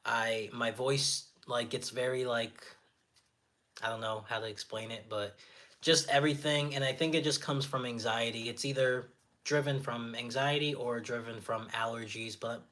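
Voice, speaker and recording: A man with a naturally raspy, slightly nasal voice, hosting a casual livestream, reading viewer comments aloud and answering them in a friendly, encouraging way, sniffling often. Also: mid-range voice, fairly even intonation, moderate pace, sharp tone. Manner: friendly, encouraging, chatty with filler words. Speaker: livestream host.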